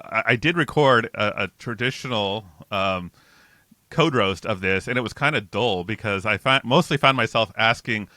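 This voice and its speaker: A man's voice: lowish voice, sharp tone, dry recording. A man in his forties hosting a casual interview and code review from a home office, chatty and upbeat with frequent restarts, speaking into a close studio microphone. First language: not Dutch. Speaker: host. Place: home office with bookshelves, indoors. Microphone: large studio mic and headphones, close.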